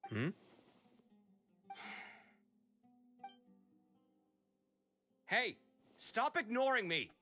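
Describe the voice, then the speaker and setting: A man playing a fretful, expressive young scholar in a fantasy video game, with theatrical exasperation. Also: high voice, a little slow, soft background music, exaggerated voice. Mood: curious, indignant.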